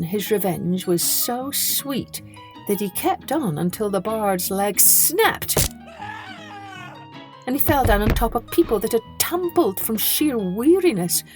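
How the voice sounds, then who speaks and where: A woman reading a children's story as a podcast storyteller, expressively, with dramatic emphasis on key words. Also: mid-range voice.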